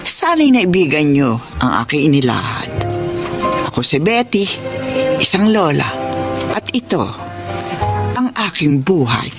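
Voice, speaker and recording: A woman's voice: sits low, very animated, measured pace, long pauses, over music, full character voice. An actress with a Filipino accent playing a reflective grandmother who narrates her life in a radio drama. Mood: reflective, solemn.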